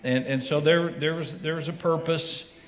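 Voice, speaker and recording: A man's voice: on the high side, fairly even intonation, normal speed. A man who is a pastor, chatting casually about his recent heart surgery, joking and rambling with many restarts and filler words. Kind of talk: casual chat. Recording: echoey room with faint noise.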